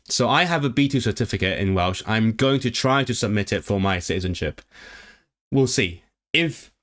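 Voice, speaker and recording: A man with a fairly low voice, talking casually to his livestream chat. He reads questions aloud and translates them as he goes. He reacts with lively, animated asides, often stumbling and restarting.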